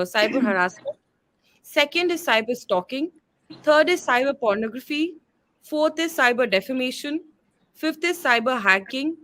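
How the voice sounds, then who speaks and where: A woman's voice: high voice, a bit bright. A woman moderating an online panel discussion, speaking conversationally with frequent "uh" fillers over a video call.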